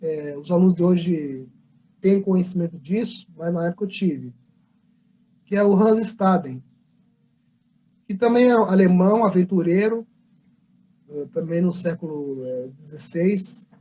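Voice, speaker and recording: A man's voice: high-pitched, measured pace, pauses often, dark tone, a little hiss or hum, poor audio. A Brazilian man, a comic book artist, telling a history story in a casual livestream, rambling and correcting himself as he goes. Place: convention livestream.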